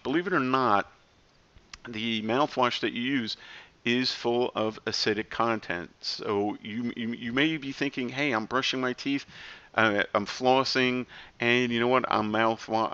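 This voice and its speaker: Bright-voiced chatty man in his forties. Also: medium pitch, muffled recording. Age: 40s. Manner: chatty, rambling, self-deprecating asides. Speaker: health vlogger. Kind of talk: casual talk to camera.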